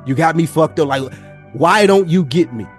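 A man's voice: on the high side, lively intonation, normal speed, faint music behind. A man in a casual podcast discussion, swearing freely and acting out imagined exchanges as he tells his point. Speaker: podcast speaker.

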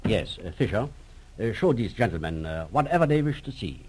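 A suave elderly professor villain in an old-time radio drama, with a low-pitched voice. He is oily and over-polite, drawing out his phrases with a faintly mocking geniality. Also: sing-song, fairly quick, a little mellow, a little hiss or hum. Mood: sly mockery. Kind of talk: 1940s radio drama acting.

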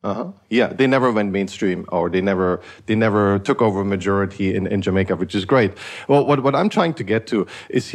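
A man's voice: fairly low pitch, creaky, a little mellow. A man from Eastern Germany hosting a podcast, talking things through in a thoughtful, rambling way with frequent restarts. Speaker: podcast host. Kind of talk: casual conversational podcast.

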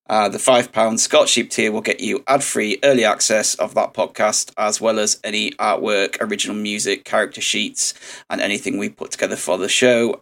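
A man co-hosting a podcast, his voice croaky, talking hesitantly with many ums and uhs. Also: on the low side, fairly bright tone. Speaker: podcast co-host. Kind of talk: casual chat.

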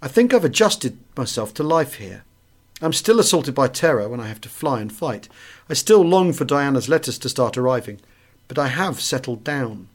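A man's voice: medium pitch, big pitch swings, normal speed. Man reading a literary memoir. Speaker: audiobook narrator. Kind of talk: scripted literary narration of a wartime memoir.

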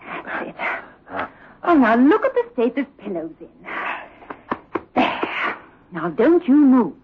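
A woman playing a brisk, bossy, fussing nurse in a 1960s radio drama. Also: sits high, huge pitch swings, heavily acted. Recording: muffled, noisy old-time radio recording.

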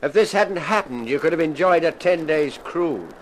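Male actor as courteous, cold-blooded yacht captain villain, vintage radio drama, expressive swings in a slightly high register. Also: normal speed, a little hiss or hum, lightly acted. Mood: coldly menacing. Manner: courteous, menacing. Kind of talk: 1950s radio crime drama acting.

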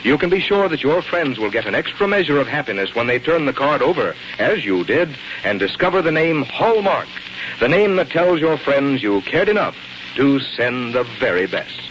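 A male old-time radio announcer reading sponsor copy. His delivery is polished and measured, with plenty of melody in the pitch. The recording is dull, with faint noise. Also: sing-song, fairly bright tone. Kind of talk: 1940s scripted radio advertising narration.

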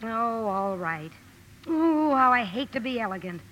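A woman's voice: on the high side, very animated, highs cut off, noisy background. A woman acting a blunt, hearty tomboyish young woman, impatient with elegance, in a 1950s radio drama. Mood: wry, exasperated. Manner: brisk, hearty, forthright. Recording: old radio broadcast, faint music behind.

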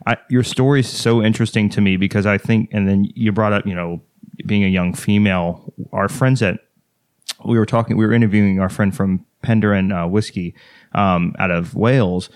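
A man's voice: fairly low pitch, fairly even intonation, normal speed, dry recording, studio quality. A man from Texas with a slight Texas accent, hosting a casual interview in a rambling, self-deprecating, jokey way. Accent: American, slight Texas. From Texas, United States. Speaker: podcast host interviewing a guest.

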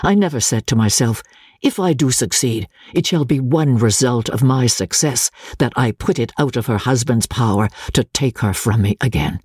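A woman narrating classic literature as a dramatic audiobook reading, with measured, formal, deliberate phrasing. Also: extremely low pitch, a little mellow, husky, no room sound, studio quality.

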